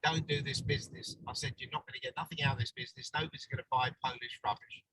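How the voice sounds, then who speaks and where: A man's voice: mid-range voice, steady tone, fast, bright, forceful tone, slightly rough. A man speaking bluntly and plainly over a video call while driving his car. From England. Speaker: guest's husband. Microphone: phone on a video call. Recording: distant, noisy car call.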